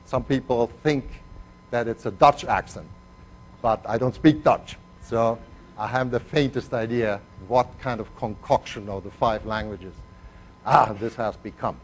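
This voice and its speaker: An older man lecturing on chemistry with a blended foreign accent, his first language being Spanish. He rambles through asides with plenty of "uh" pauses and a wry, self-mocking humor. His pitch sits at an ordinary middle level for a man. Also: slight background noise. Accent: mixed foreign accent, Spanish first language. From Argentina. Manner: digressive and self-deprecating, with frequent "uh" pauses. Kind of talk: university lecture.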